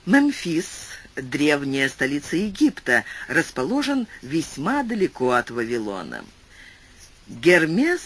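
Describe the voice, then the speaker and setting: Animated male audiobook narrator. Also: medium pitch, breathy edge. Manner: lively, swooping delivery with shifting pace. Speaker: audiobook reader. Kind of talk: expressive literary narration.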